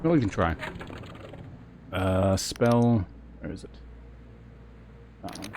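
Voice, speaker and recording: A man's voice: low voice, takes it slowly, noisy background. A man casually commentating on a video game live, thinking aloud in a hesitant way as he chats with viewers.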